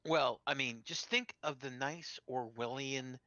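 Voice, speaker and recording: A man's voice: medium pitch, unhurried, bright, forceful tone, no echo, muffled recording. A man chatting casually as a stream co-commentator, with a sardonic manner. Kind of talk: casual group chat. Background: game music.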